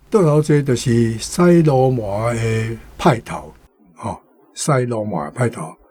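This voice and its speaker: A man's voice: mid-range voice, takes it slowly, long pauses, darkish tone, breathy edge. A male guest commentator who rereads lines from a novel and explains them. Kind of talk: explaining a passage from a book.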